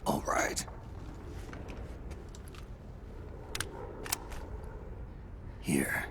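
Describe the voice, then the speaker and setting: An actor playing a gruff, weary, prematurely aged soldier with a gravelly rasp and terse, deadpan delivery. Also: male voice, slow, whispered, natural voice. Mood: terse, resigned.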